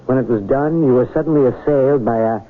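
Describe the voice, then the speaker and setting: Actor as an earnest reverend on tinny radio. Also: male voice, mid-range voice, fast talker, lightly acted. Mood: probing. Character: earnest, probing, persuasive small-town reverend. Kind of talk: 1980 radio drama acting. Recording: noisy, tinny old radio broadcast recording.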